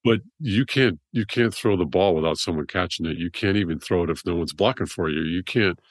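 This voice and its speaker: A middle-aged man, a surgeon guest with a deep voice, rambling through his story in a casual interview. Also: brisk, no echo, clean studio sound. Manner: rambling, restarts often.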